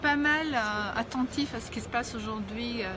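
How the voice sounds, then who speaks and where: A middle-aged woman with an Italian accent, a guest talking in a casual live street interview and often pausing with fillers. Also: fairly high pitch. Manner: hesitant, many pauses and fillers. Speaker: guest, founder of an education movement. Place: outdoors on a city street. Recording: street traffic noise behind.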